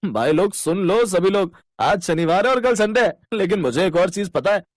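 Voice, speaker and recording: A mocking male supervisor played by a man in a cartoon story. He is rude, jokey and full of sarcasm, with a fairly high, very animated delivery in a dry recording. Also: normal speed, no room sound. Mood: sarcastic.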